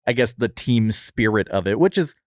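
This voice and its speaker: A man's voice: lowish voice, expressive, normal speed, no echo, clean studio sound. A man co-hosting a podcast, giving a wry, descriptive review in casual, comedic conversation. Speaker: podcast co-host.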